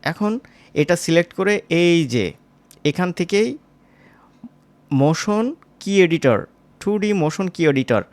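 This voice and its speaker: A man teaching as a software instructor, informal and step by step, often checking in with short tag questions. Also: a little high, animated, a little slow, long pauses, dry recording. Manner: conversational, seeking agreement with short tag questions. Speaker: animation tutorial instructor.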